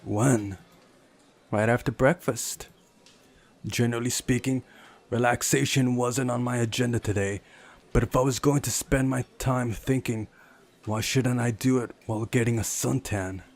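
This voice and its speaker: A man's voice: medium pitch, fairly even intonation, normal speed. A man reading a visual novel aloud as a let's play host, with asides of his own.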